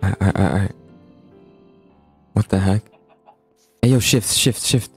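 A young man improvising a school roleplay in a video game, talking in an excitable, slangy way. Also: fairly low pitch, normal speed, soft background music. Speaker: host and player in a school roleplay. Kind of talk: casual improvised gaming roleplay chat.

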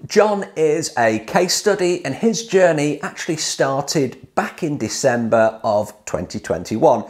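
Upbeat British man pitching to camera. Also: a little mellow. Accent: British English. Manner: upbeat, chatty, salesy enthusiasm. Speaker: DIY hot tub course creator and channel host. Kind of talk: direct-to-camera explainer.

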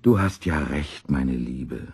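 A man's voice: a bass voice, takes it slowly, breathy edge, heavily acted. A man voicing a placid, henpecked shopkeeper husband in a children's story, sighing and placating. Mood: resigned.

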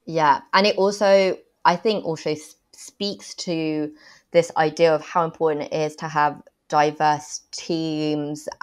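A woman's voice: low voice, flat delivery, takes it slowly. A young woman interviewing a guest over a video call, summing up his points and asking follow-ups. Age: young adult. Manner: engaged, sums up and builds on answers. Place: small room at home, indoors. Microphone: earphone mic on a video call.

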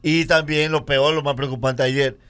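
A man's voice: fairly high pitch, little pitch movement, moderate pace, a bit bright, a little hiss or hum. A man co-hosting a radio talk show, chatting casually with the panel. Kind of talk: casual chat. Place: radio studio.

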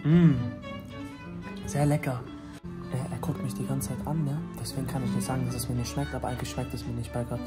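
A man's voice: mid-range voice, flat delivery, brisk, loud music behind the voice. A young man from Bangladesh chatting casually in a vlog, joking and teasing with street slang, filmed on a handheld phone. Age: young adult. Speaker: vlogger.

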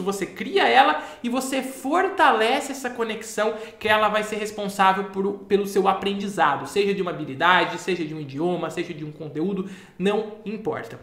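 A man in his thirties, a memory-course teacher, explaining a topic directly to camera in a small office. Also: high voice. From Brazil. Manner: animated, gestures a lot while explaining. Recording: echoey room.

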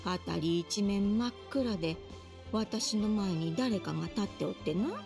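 A woman's voice: mid-range voice, animated, unhurried, vocal fry. A woman voicing a forgetful, cheerful older grandmother, with old-fashioned speech and a musing way of talking. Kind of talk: Japanese game dub. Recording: music under the dialogue.

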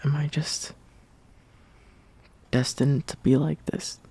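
Young man, intimate roleplay monologue, half-whispered, slow halting delivery, soft mellow tone, insecure and lovestruck. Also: a little high, flat delivery, breathy edge. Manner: hesitant, halting, trailing off. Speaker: roleplay audio performer.

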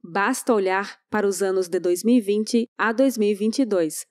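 A woman's voice: medium pitch, steady tone, moderate pace, a little mellow, dry recording, studio quality. Polemical woman narrating a video essay. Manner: polemical, emphatic. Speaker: channel narrator.

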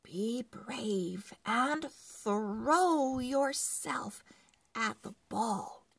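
A woman's voice: fairly high pitch, very slow, creaky, a bit bright, slightly rough, no room sound, heavily acted. A woman reading the part of an eager, determined young schoolboy in a children's storybook, earnest and emphatic.

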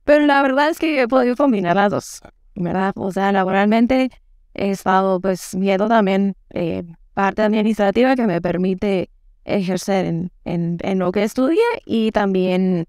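A woman in her thirties from Guatemala, calmly telling her story as an interview guest. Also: mid-range voice, moderate pace, smooth, no echo, studio quality. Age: 30s. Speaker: guest, figure skating coach. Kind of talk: interview answers. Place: studio set. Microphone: lapel mic.

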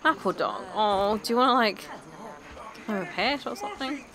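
A woman's voice: fairly high pitch, big pitch swings, normal speed, a crowd in the background, rough recording. A woman playing a game with a friend, chatting in an easygoing way. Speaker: game player. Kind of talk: casual co-op gameplay chat. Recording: game music playing under voice.

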